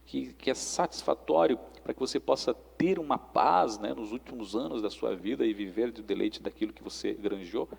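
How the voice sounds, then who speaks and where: A Brazilian man with a husky, creaky voice talks like a preacher in conversation. He instructs and exhorts, often checking for agreement. His voice trails off in long reverb. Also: mid-range voice, normal speed, few pauses. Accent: Brazilian Portuguese.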